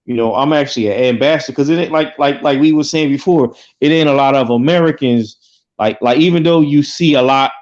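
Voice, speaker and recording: An earnest middle-aged man speaks at an ordinary pitch for a man, padding his sentences with "like". His sound comes through dull and muffled. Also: a little fast, darkish tone. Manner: earnest, full of fillers like "like". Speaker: guest. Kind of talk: casual chat.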